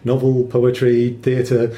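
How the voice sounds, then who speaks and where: Very mellow, dark-voiced male interviewer. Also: monotone, takes it slowly. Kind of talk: casual interview questions. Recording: roomy echo, background rumble.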